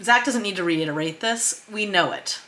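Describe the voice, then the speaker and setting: A woman's voice: mid-range voice, normal speed, bright, forceful tone. A woman hosting a writing tutorial, explaining conversationally and reading excerpts aloud, leaning on key words for emphasis. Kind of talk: explanatory screen-share lesson, reading script excerpts aloud.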